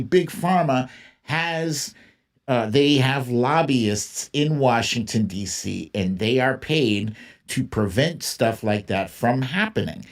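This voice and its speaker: A man with a mid-pitched voice hosts a casual political livestream. He talks at an unhurried, slow pace in an informal, opinionated style. He stresses his points emphatically, often by saying a phrase again. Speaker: livestream host. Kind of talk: casual opinionated political commentary, reading and answering viewer comments.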